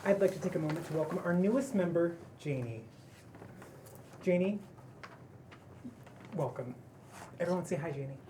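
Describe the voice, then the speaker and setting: A woman's voice: fairly low pitch, moderate pace, pauses often, noisy background, lightly acted. A woman at a table read playing a theatrical, earnest man who leads a household with effusive ceremony. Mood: ceremonious. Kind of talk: table read acting of a pilot script.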